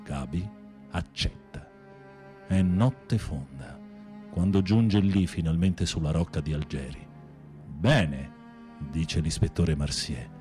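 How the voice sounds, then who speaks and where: An actor in an Italian radio drama playing a sensitive prisoner with a literary, dramatic, expressive way of speaking. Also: male voice, extremely low pitch, slow pace, faint music behind. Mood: melodramatic storytelling. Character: theatrical, sensitive male prisoner telling melodramatic tales.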